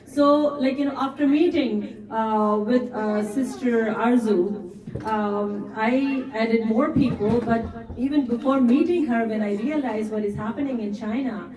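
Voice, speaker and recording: A woman's voice: on the high side, a little slow, a crowd in the background. A middle-aged woman whose first language is Urdu, giving an earnest talk to a women's gathering and often adding "right?". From Canada resident. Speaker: community activist speaker. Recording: echoey hall, voice from far off.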